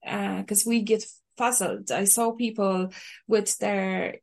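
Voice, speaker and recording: A woman's voice: mid-range voice, steady tone, takes it slowly. A woman from Poland with a Polish accent, answering interview questions thoughtfully with frequent hesitations. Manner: thoughtful, hesitant, many uhs. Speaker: guest, sewing teacher.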